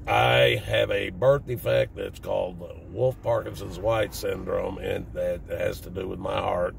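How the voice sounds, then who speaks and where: Deep, gravelly Southern US man. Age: 50s. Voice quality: raspy, deep smoker's voice. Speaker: vlogger. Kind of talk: casual personal talk to viewers. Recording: distant mic with faint background noise.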